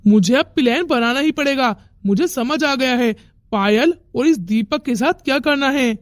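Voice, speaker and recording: A woman's voice: high voice, normal speed, dry recording, clean studio sound, natural voice. A woman voicing brash, defiant young men in a dramatic, confrontational Hindi family melodrama cartoon. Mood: scheming, frustrated. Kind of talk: Hindi moral-story cartoon dialogue.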